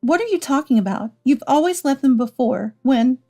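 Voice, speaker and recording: Woman acting an earnest wife, fairly high-voiced. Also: lively intonation, moderate pace, warm tone, soft background music. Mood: shocked. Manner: earnest, pleading. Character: adult wife and mother, hurt but protective.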